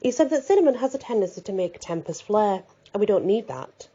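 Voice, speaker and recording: Woman reading an easygoing teasing guy, husky. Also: medium pitch, sing-song, a little fast, mellow, muffled recording, voice pushed up. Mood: matter-of-fact.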